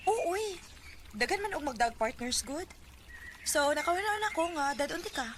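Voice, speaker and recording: A chirpy young woman with a Cebuano Filipino accent. Her high voice is coaxing and playful in radio drama style, with clear noise and birdsong behind her. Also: animated, normal speed.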